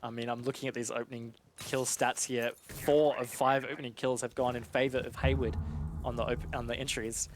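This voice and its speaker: A man giving conversational live esports analysis in a measured, explanatory and informal way. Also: mid-range voice, flat delivery, slight background noise.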